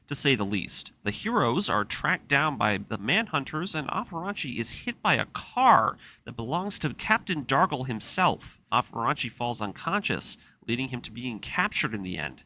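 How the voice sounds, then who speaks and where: A man's voice: medium pitch, pushed and bright, a little hiss or hum. A man reading a scripted story recap as the narrator of a video essay. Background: music.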